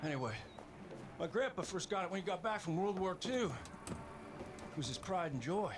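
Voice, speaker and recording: A man's voice: sits high, expressive, normal speed, noisy background. An actor playing a hot-tempered, foul-mouthed male bush pilot who breaks into swearing, emotional outbursts. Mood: excited. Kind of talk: video game cutscene acting.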